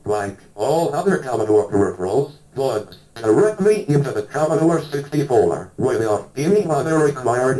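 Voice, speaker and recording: Mellow robotic male synthesizer voice. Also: mid-range voice, poor audio. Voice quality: synthetic, robotic computer speech. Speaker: product demo voice of a speech synthesizer. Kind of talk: scripted promotional narration.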